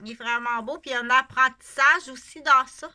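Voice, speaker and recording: A woman's voice: high voice, fairly even intonation, fairly quick, pushed and bright. A middle-aged woman from Canada with a Québécois accent, talking casually to camera in a rambling, emphatic way and repeating herself for stress. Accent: Québécois French. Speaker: vlogger. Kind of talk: casual unscripted monologue to camera.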